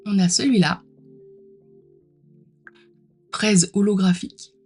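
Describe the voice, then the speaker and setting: Woman, casual unboxing host, gushing and informal, slightly sick, medium pitch, faint music behind. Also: moderate pace, a little mellow, breathy edge. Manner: gushing, informal, exclaims often. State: mildly ill, clearing throat. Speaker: host of a tarot unboxing channel.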